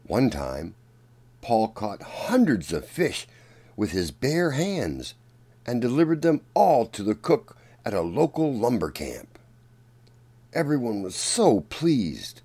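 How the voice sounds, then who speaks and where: A man reading a children's picture book aloud as a storyteller, in a deliberate, dramatic manner. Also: medium pitch, huge pitch swings, mellow, breathy edge. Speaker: children's storyteller and channel host.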